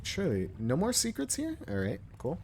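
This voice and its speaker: A man's voice: on the high side, wildly swooping pitch, normal speed, a little mellow, a little hiss or hum. A young adult man giving casual, crude, joking live commentary as he plays a game. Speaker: streamer playing a game.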